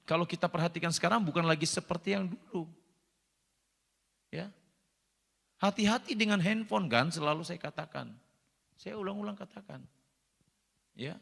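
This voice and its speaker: Pastor, male, high gravelly voice, emphatic and repetitive sermon delivery, long hall reverb. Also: a bit bright. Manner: repeats phrases, addresses congregation directly, emphatic rises. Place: church hall.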